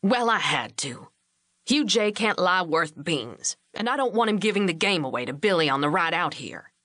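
A woman's voice: on the low side, sing-song, normal speed, bright, forceful tone, no echo, clean studio sound. A woman voicing an older male ranch patriarch in a storybook, scheming and firm with easy authority. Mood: assured, scheming.

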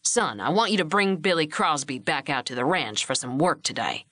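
A woman's voice: deep voice, animated, moderate pace, fairly bright tone, no echo, studio quality, pitched below the performer's own voice. A woman voicing an older male ranch patriarch in a storybook, scheming and firm with easy authority. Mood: commanding.

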